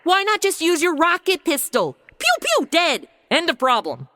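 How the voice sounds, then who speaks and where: A flippant, darkly sarcastic young woman played by a woman for a comedy audio drama, delivering snarky deadpan quips. Her voice is high, bright and lively, and recorded dry and close. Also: normal speed, no echo, heavily acted.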